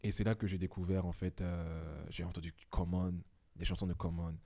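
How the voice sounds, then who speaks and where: A man in his twenties, a podcast guest talking casually and reflectively at home, pausing often with fillers. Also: low-pitched, little pitch movement, mellow. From Belgium. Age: 20s. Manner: reflective, many hesitations.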